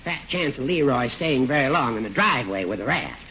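An actor playing a dry, needling old judge in a 1940s radio comedy. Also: male voice, medium pitch, expressive, a little fast, close to an everyday voice. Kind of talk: 1940s radio sitcom acting. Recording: dull, noisy 1940s radio recording.

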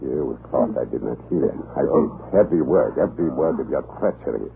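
Very deep, menacing commandant. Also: male voice, vocal fry. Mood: jealous rage. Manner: deliberate, mocking, turning violently threatening. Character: menacing, jealous, murderous Russian prison island commandant. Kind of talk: 1930s radio horror acting. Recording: muffled, noisy 1930s radio recording.

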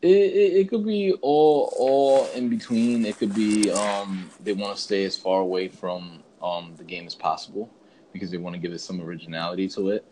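Deep voiced guy, casual podcast chat, kinda hesitant, some background noise. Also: moderate pace, mellow, low-quality recording. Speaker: podcast co-host.

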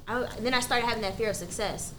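A woman's voice: fairly high pitch, fast, sharp tone, a little hiss or hum. A 20-year-old woman on a podcast panel, speaking candidly about herself. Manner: candid, confiding. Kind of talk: casual roundtable chat.

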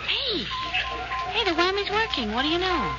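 A woman's voice: extremely high pitch, very animated, moderate pace. An actress with an American accent playing a detective's playful, wry girlfriend in a 1940s radio drama, bantering and teasing. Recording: dull old radio recording with loud music.

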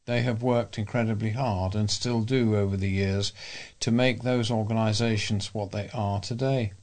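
A man's voice: fairly low pitch, fairly even intonation, moderate pace, darkish tone. A man reading a local news bulletin aloud as a volunteer newsreader.

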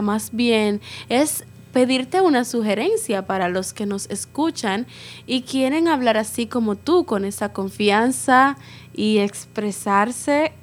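A woman hosting a casual podcast interview, asking questions and summing up her guest's answers with encouragement. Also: a little high. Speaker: podcast host.

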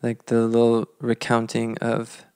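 A man in his thirties co-hosting a podcast, telling history in a measured, reflective, conversational way. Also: mid-range voice, monotone, mellow, clear, resonant tone, no room sound, clean studio sound. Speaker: podcast co-host.

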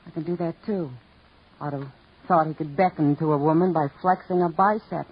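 Jaded theater woman, husky, tart, evasive, muffled vintage radio recording. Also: sits low, steady tone, normal speed, exaggerated voice. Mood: tart, guarded. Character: world-weary, sardonic married woman of the theater. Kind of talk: 1950s radio crime drama acting.

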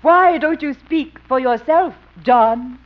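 A woman playing a coquettish, flattering young dancing teacher in 1950s radio comedy, cooing sugary baby talk. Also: high-pitched, takes it slowly. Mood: adoring. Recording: noisy, muffled old radio recording.

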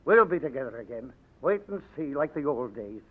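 An actor playing Frankie, a bold young Irish rebel on the run, with an Irish accent and a jaunty, brash way of talking, in a 1940s radio drama. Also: male voice, mid-range voice, sing-song, a little fast. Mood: confident. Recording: tinny narrow old radio broadcast.

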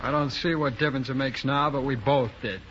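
An actor playing a bitter, defiant small-time crook in a 1950s radio drama, sullen and defensive. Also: male voice, mid-range voice, fairly quick, a bit bright, lightly acted. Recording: dull noisy 1950s radio recording.

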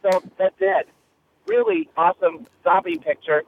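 A man's voice: sits high, wildly swooping pitch, moderate pace. Tinny phone call from a gushing man. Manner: gushing, enthusiastic. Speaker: caller leaving a listener voicemail. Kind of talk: casual, chatty fan message. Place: in a car, driving. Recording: narrow phone line, faint noise.

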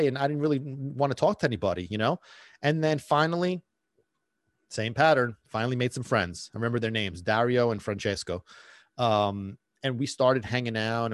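A man hosting a podcast, talking candidly about his past in a halting way, full of fillers and restarts. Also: medium pitch, dry recording, studio quality. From Brooklyn, USA. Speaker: podcast host. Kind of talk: personal storytelling monologue.

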